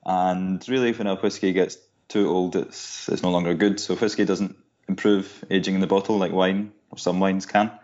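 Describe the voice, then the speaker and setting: A man guest explaining how something is made in a measured, informative way, with frequent ums and uhs. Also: deep voice, fairly even intonation, darkish tone. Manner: informative, measured, with many fillers. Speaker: guest, whisky guide. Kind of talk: casual explanatory chat. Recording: dull lo-fi audio.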